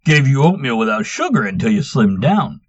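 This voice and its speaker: A blunt, mocking barbarian warrior, played by a male narrator. He pokes fun loudly, with a lively rise and fall. The sound is a little muffled. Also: sits low, slow pace, breathy edge, heavily acted.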